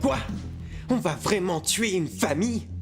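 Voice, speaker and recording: An earnest, nervous cartoon demon, played by a man. He frets and protests with lively swings in pitch, while music plays clearly behind him. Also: unhurried. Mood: flustered. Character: anxious, prim, conscientious male demon assassin. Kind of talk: animated comedy fan dub.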